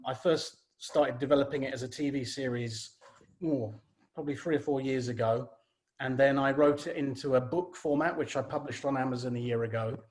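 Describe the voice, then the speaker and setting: A man's voice: mid-range voice, a little mellow, rough recording. A man speaking informally and a little hesitantly as the writer and producer hosting a cast introduction. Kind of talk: casual introductions.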